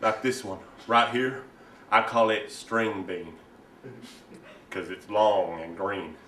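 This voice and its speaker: A man acts out a whiny Southern mama's boy with a low but bright voice. He is timid and painfully earnest, halting through his lines like an overgrown child on a comedy stage. The mic is distant and the room rings. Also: fairly even intonation. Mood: proudly earnest. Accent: American South. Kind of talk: comic stage monologue performance. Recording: roomy, distant mic with hiss.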